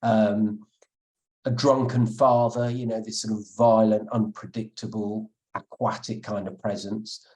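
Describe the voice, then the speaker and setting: A man with a lowish voice answers an interviewer's questions about his book. He speaks thoughtfully, often stalling on fillers and restarting his sentences. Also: flat delivery, unhurried, darkish tone, low-quality recording. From England. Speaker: author interviewed as guest.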